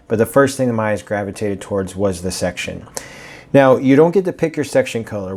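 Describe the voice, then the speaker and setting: Guy reviewing a pen, kinda raspy from allergies, rambly and chatty. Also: fairly low pitch, dark tone. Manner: chatty, rambling, with fillers and restarts. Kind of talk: casual product review.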